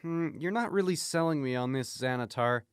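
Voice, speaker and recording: A man's voice: medium pitch, animated, fairly quick, clean tone, no echo, studio quality, lightly acted. A man playing a polite, earnest and credulous interview host in an improvised radio comedy. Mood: puzzled.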